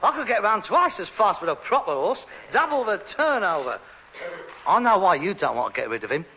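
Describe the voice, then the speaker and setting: A man's voice: sits high, very animated, a little fast, sharp tone, slightly rough, heavily acted. A man playing an exasperated, pretentious Cockney rag-and-bone man, a put-upon grown son in a sitcom, whining and indignant. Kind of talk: British sitcom acting. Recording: dull, noisy old sitcom recording.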